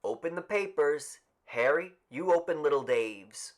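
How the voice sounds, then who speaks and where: A brisk village official, acted out by a male narrator, formal and commanding. He projects loudly and pitches his words up like someone running a public event in a storybook. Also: animated. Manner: commanding, formal, loud announcing.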